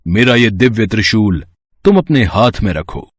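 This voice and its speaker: A commanding father god from a mythological tale, acted by a man. He gives formal, measured orders. The sound is dry, with little room. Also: lowish voice, animated, no echo.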